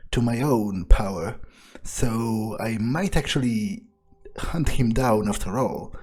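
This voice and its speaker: Man playing a brooding, power-hungry vampire, slow and deliberate. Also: lowish voice, darkish tone, husky. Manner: brooding, deliberate, grandiose, with trailing pauses. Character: power-hungry, menacing male vampire, musing coldly on revenge. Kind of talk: in-character game playthrough monologue.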